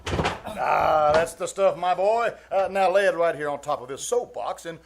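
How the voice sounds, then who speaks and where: An actor playing a pompous, blustery middle-aged uncle in a live 1940s-style radio comedy, easily flustered and full of hems and exclamations. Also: male voice, a little high, moderate pace, creaky, background noise. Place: live stage performance in a theater.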